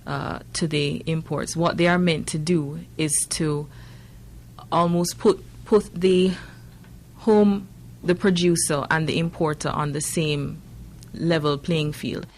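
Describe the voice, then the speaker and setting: Slow low-voiced Jamaican woman official. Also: steady tone, a little mellow, a little hiss or hum. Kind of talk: news soundbite.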